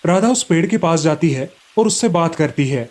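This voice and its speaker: A man's voice: on the high side, lively intonation, moderate pace, a little mellow. A man storyteller reading scripted narration for a children's moral tale.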